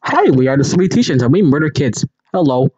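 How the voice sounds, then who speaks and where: Guy goofing through a fan dub, half-hearted rambling, pitch all over, dry close sound. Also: very animated, a little fast, darkish tone, husky, no room sound, muffled recording. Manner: rambling, silly, unserious ad-libbing with mock alarm. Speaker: amateur voice actor. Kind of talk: casual amateur fan dub of an animation, deliberately half-hearted.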